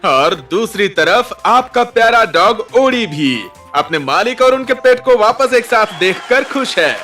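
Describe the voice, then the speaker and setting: A man's voice: sits high, animated, unhurried, sharp tone, faint music behind, exaggerated voice. A man voicing a chirpy, showy television show host in a cartoon. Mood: upbeat. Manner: showy presenter delivery. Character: polished, chirpy male TV pet show host. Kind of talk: animated cartoon dub.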